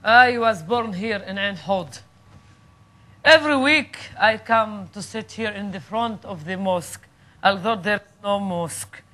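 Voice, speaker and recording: An older actor playing an elderly man who keeps vigil at an old mosque, speaking in a slow, solemn, remembering way. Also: sits high, sharp tone, heavily acted.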